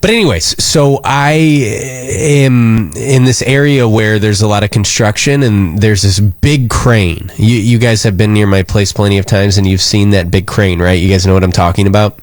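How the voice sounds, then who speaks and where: American man, radio host, lower pitch, dry close sound, casual live talk, earnest, rambling, concerned. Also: moderate pace, few pauses, no echo.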